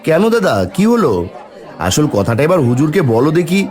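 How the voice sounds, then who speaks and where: A man's voice: on the high side, animated, brisk, crowd noise, close to an everyday voice. A man voicing an earnest, humble village man in a cartoon. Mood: cheerful. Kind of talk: Bengali cartoon voice acting.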